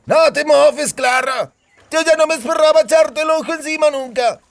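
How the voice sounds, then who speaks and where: A blunt dwarf mine foreman. His voice is very high and creaky, and his talk is rustic and full of slang. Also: normal speed. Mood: blunt, grumbling. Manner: rustic slangy speech, exclaiming. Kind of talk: audiobook character dialogue.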